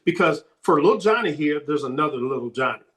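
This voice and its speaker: A man answering as a sports recruiting coach on a podcast, emphatic and repeating his key points, his voice slightly hoarse. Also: fairly high pitch, expressive, fast, a bit bright.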